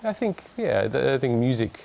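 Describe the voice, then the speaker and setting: A man's voice: mid-range voice, very animated, normal speed, gravelly, a little mellow, a little hiss or hum, low-quality recording. A man, answering interview questions hesitantly and chuckling easily. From Australia. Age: 30s. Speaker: guest musician. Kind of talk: casual interview chat.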